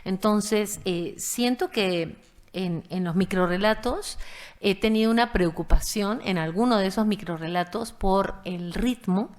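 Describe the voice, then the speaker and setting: Woman from venezuela, mixed accent, thinks out loud about writing, lots of "eh". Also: mid-range voice, unhurried. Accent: none fixed, mixed Venezuelan and Peruvian. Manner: thoughtful, with frequent restarts and fillers. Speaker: guest writer. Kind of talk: panel talk about writing.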